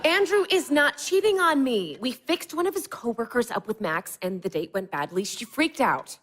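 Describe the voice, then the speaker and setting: An actress with an American accent playing an anxious, exasperated young wife, defensive and incredulous, in a naturalistic audio drama. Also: female voice, fairly high pitch, big pitch swings, moderate pace, fairly bright tone, a little hiss or hum.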